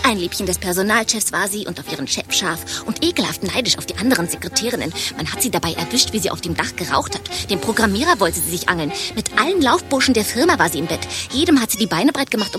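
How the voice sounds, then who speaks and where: Woman acting brash office secretary, very lively pitch, gossipy, bawdy, exclamatory, radio drama, music behind. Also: natural voice. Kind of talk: German radio play acting.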